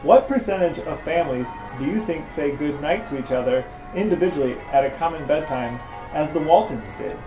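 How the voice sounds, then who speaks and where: A man's voice: on the high side, animated, a little fast, few pauses. An American man co-hosting a podcast, chatting casually and asking playful questions in a dryly mock-serious way. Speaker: podcast co-host, interviewer. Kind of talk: casual chat and playful interviewing. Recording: echoey room, faint music.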